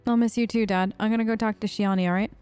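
A woman's voice: medium pitch, steady tone, quick pace, darkish tone, clean tone, dry recording, soft background music. A woman gamer speaking informally and conversationally as she role-plays her character in a let's play.

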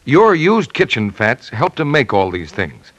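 A man's voice: mid-range voice, very animated, a little slow, a bit bright, no room sound, lo-fi sound. A man with an American accent announcing and reading scripted sponsor messages in 1940s radio style. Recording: 1940s radio broadcast recording.

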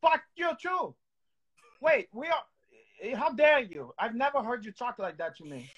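High-voiced teasing man on a video call. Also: big pitch swings, a little slow, fairly bright tone, breathy edge. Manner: playful, teasing, rambling with many restarts. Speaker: co-host. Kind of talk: joking casual chat. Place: home room with candlelight.

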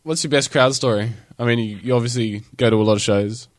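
A man's voice: mid-range voice, no room sound. A man with an Australian accent hosting a casual radio interview, asking questions with lots of ums and restarts. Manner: halting questions with ums, chatty.

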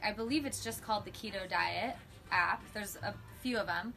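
A woman's voice: medium pitch, normal speed, gravelly, pushed and bright. A young woman chatting casually on an outdoor deck, full of "like" and "um", recorded by a distant camera. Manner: chatty, many fillers and "like". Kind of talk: casual chat.

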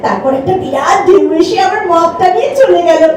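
Woman acting as high-voiced expressive poetess in echoey hall. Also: a little fast, a little hiss or hum. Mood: animated, amused. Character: Bhabna, lively sensitive woman poet in a romance. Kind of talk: stage audio drama acting, tender and expressive. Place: multipurpose hall, live performance. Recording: echoey hall, distant microphone.

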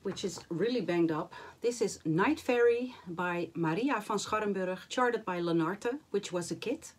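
A woman in her forties, hoarse and a little nasal after the flu, chatting to camera about her stitching projects from a small home craft room, with many fillers and restarts. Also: medium pitch, a little slow, a little hiss or hum. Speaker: cross-stitch vlogger. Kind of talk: casual chat to camera.

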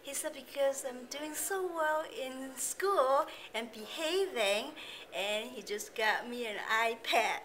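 A woman in her forties, a community college student, speaking earnestly in halting English in an interview. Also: high voice, a bit bright. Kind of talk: casual interview. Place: college lounge indoors.

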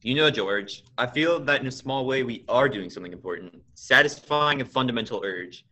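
A high school boy plays a principled small-town American father in a radio drama. His mid-pitched voice is bright and forceful, with measured, earnest delivery. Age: teens. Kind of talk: stage radio play acting.

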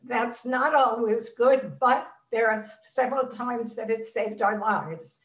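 Older woman, survivor testimony over a video call, measured and reflective, fairly high voice, roomy sound picked up from a distance. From Czechoslovakia. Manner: measured, reflective storytelling with pauses. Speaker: Holocaust survivor speaker. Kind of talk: personal testimony in an interview. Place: home room.

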